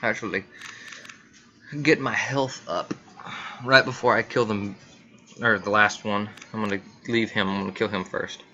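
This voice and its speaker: A man's voice: on the low side, steady tone, moderate pace, long pauses, sharp tone, muffled recording. A man giving casual, rambling gameplay commentary, muttering reactions to himself as he plays. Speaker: gaming video host. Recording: clearly noisy home recording.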